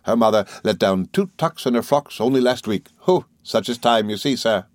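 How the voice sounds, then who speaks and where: A man voicing a meek, timid middle-aged country doctor who speaks slowly and apologetically, stammering and adding sir to nearly every phrase. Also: medium pitch, animated, dry recording, clean studio sound, close to an everyday voice. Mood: meek, fluttered. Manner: slow, apologetic, hesitant, with deferential sirs.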